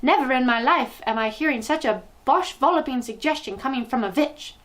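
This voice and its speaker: Tyrannical storybook witch boss, voiced by a woman, high and screechy, menacing, grandly theatrical. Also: lively intonation, a little fast, a bit bright. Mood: furious. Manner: screeching, imperious, theatrical, oddly inverted grammar. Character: tyrannical, raging witch leader, shrill and menacing. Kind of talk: children's storybook character reading.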